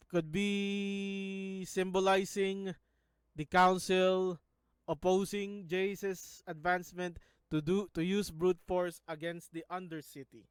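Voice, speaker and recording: A man's voice: high voice, smooth, no echo. A man from the Philippines with a Filipino accent, talking casually in a video as he analyzes scenes, often stopping to restart words. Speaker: YouTuber analyzing a show.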